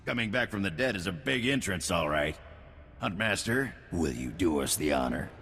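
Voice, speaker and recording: A booming, authoritative tournament master in a video game. His voice is sharp and gravelly, with a theatrical delivery. Long reverb surrounds him. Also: expressive, normal speed. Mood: amused. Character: commanding male arena master of a bounty hunters' tournament.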